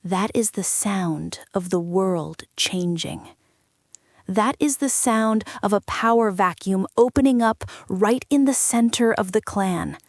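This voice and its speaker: Woman playing a slow, seductive, commanding crime heiress. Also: medium pitch, no echo, clean studio sound. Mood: commanding, hushed. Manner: slow, deliberate, intimate, often dropping into whispers. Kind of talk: ASMR roleplay, scripted dramatic monologue.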